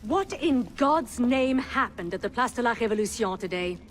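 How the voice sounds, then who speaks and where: An actress playing an imperious, middle-aged leader of an assassins' council in a video game, formal, severe and commanding. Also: female voice, fairly high pitch, expressive, moderate pace, a little hiss or hum, close to an everyday voice. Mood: indignant reproach. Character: stern, imperious female master of an assassins' council.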